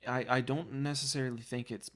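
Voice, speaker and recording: A man co-hosting a casual podcast, easygoing and thoughtful as he pitches ideas. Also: fairly even intonation, brisk, a bit bright. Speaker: podcast co-host. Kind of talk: casual chat.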